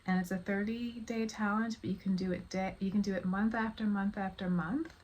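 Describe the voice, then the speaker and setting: Woman vlogger, halting delivery, noisy recording. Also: mid-range voice, fairly even intonation, darkish tone, lo-fi sound. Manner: halting, rambling, conversational storytelling. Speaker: vlogger telling her personal story. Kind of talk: casual, confiding monologue to viewers. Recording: clear background noise.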